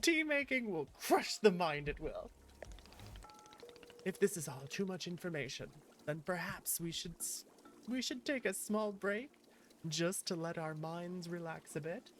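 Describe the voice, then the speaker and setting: Woman voicing a gentle, unhurried male shopkeeper. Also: fairly low pitch, lively intonation, a little hiss or hum. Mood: earnest. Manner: slightly formal, quaint phrasing. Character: gentle, jolly, earnest male tea-shop keeper. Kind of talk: reading game dialogue in character.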